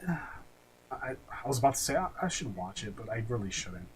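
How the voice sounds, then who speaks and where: A man's voice: mid-range voice, big pitch swings, a little fast, breathy edge, low-quality recording. A man co-hosting a podcast in casual chat, blunt and slangy, swearing freely. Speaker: podcast co-host. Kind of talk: casual chat about video game movies.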